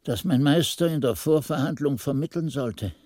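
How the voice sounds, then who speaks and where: An actor playing a reflective old monk who recalls his youth, musing and halting as if talking to himself, husky-voiced, in a radio drama. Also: a little high, a little mellow, no echo, clean studio sound, close to an everyday voice. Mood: troubled recollection. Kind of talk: 1980s German radio drama narration.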